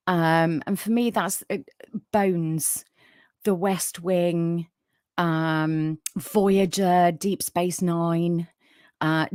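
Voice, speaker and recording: A woman's voice: lowish voice, no room sound, studio quality. A woman with a soothing voice hosting a casual livestream, chatting with viewers and reading their comments with lots of fillers. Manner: chatty, warm toward viewers, many fillers. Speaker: livestream podcast host.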